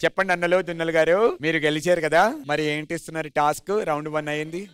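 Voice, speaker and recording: A man's voice: a little high, level tone, very fast. A man with a Telugu accent presenting a stage dance show in an emphatic, showman-like way, announcing like a TV host. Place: TV studio stage. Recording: loud music behind the voice.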